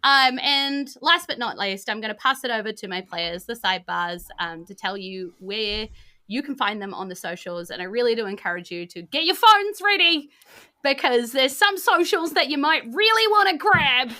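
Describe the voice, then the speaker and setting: A New Zealand woman hosting a gaming podcast. Her voice is bright and fairly high, and she talks in a giggly, rambling, unscripted way, full of bubbly energy. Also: animated, normal speed. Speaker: game master and podcast host. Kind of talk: casual unscripted sign-off chat.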